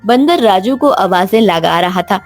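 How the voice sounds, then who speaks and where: A woman's voice: medium pitch, expressive, a little slow, soft background music. A woman reading scripted narration for a children's cartoon story.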